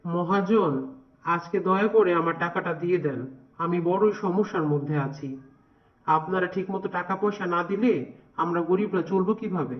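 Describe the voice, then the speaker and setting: A man's voice: high-pitched, normal speed, close to an everyday voice. A man voicing a poor, worried village father in a cartoon, humble and pious. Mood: pleading. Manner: pleading, weary. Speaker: voice actor. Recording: dull, lo-fi recording.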